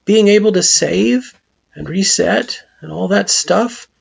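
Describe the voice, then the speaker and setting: A woman voicing an earnest young hero who speaks in a soft, sincere way. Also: mid-range voice, slow pace, gravelly, breathy edge, muffled recording, voice pushed up, full character voice.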